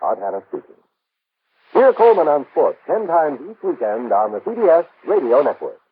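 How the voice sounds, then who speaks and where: A man's voice: fairly high pitch, big pitch swings, normal speed. An American man announcing from a script in the style of 1960s radio. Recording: narrow-band, noisy old radio broadcast.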